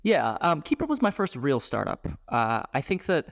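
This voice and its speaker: A man in his thirties, a startup founder, talking about his work in a casual podcast interview, halting, with frequent restarts and fillers. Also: mid-range voice, sing-song, creaky, dry recording, studio quality. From grew up abroad, moved to the US at eleven.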